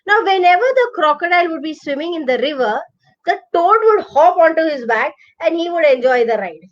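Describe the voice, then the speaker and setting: High-pitched Indian woman telling bedtime stories. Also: highs cut off. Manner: expressive, drawn-out repetition for emphasis. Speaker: children's storyteller and host. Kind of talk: bedtime story narration.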